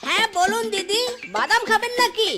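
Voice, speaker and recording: Henpecked male fox vendor, extremely high voice, folksy, pleading, cartoon, loud backing music. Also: normal speed. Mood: cheerful, reassuring. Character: cheerful, hapless henpecked male fox peanut seller. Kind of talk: cartoon voice acting. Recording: loud background music throughout.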